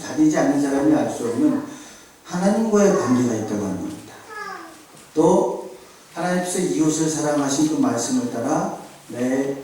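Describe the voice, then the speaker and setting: Male pastor, middle-aged, conversational sermon; mellow tone, distant and boomy in a reverberant church. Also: slight background noise. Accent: Seoul Korean. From South Korea. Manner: conversational preaching with anecdotes and rhetorical questions. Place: church sanctuary. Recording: very echoey hall, voice from a distance.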